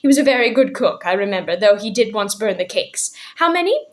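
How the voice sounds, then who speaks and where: A woman voicing a tiny, ancient, shrill old shopkeeper who turns from soft menace to sweetness in a storybook reading. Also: lively intonation, normal speed. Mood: sweet. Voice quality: shrill, shrieking.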